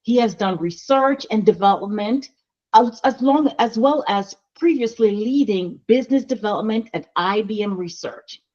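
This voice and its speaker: Slow-speaking female host, dull audio. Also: on the high side, darkish tone. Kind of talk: guest introduction. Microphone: video call. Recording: dull lo-fi video call audio.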